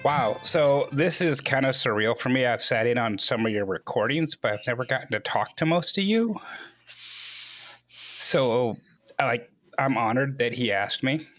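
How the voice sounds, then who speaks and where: A man's voice: mid-range voice, lively intonation, normal speed, fairly bright tone, faint music behind. A man hosting a casual group interview. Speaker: host.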